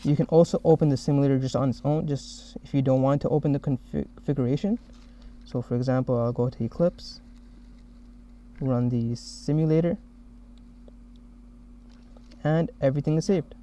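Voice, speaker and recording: A man narrating a software demo as the developer who made it, explaining each step. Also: medium pitch, steady tone, moderate pace, pauses often. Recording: dull, muffled lo-fi audio.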